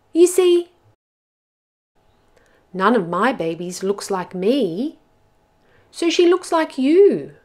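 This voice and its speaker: A woman's voice: on the high side, voice pushed up, heavily acted. A woman voicing an eager, helpful but muddled butterfly in a storybook, encouraging and exclamatory. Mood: flustered. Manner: bright-eyed, encouraging, exclamatory.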